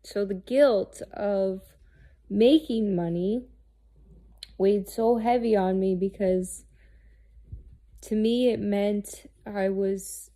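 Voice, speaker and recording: A woman in her thirties, talking candidly and haltingly about herself, restarting often and swearing freely. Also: medium pitch, warm tone. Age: 30s. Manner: halting, searching, with many restarts and fillers. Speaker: host. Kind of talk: candid personal monologue.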